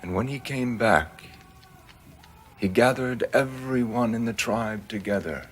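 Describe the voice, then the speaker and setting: A man playing a composed military colonel, speaking in a measured, solemn storyteller's way in a television drama. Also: medium pitch, slightly rough, faint music behind. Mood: solemn storytelling.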